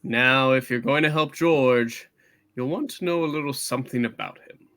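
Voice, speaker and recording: A man playing a kindly, authoritative superintendent of angels in a comic radio play reading, with a measured, halting delivery. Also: medium pitch, a bit bright, close to an everyday voice. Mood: kindly, brisk.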